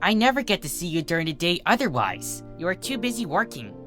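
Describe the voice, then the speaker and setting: A narrator voicing a brash, eager young female pegasus pony with a soft heart, in a cartoon fan fiction reading. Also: medium pitch, very animated, fast talker, soft background music, pitched above the performer's own voice. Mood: sulky, wistful. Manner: boastful, eager, sometimes sulky.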